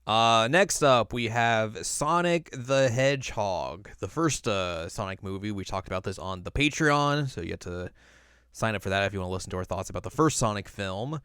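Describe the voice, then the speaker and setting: A man's voice: on the low side, expressive, normal speed, fairly bright tone, smooth, no room sound, clean studio sound. A man co-hosting a podcast in casual chat, with an informal manner and wry asides. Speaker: podcast co-host. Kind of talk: casual chat ranking video game movies.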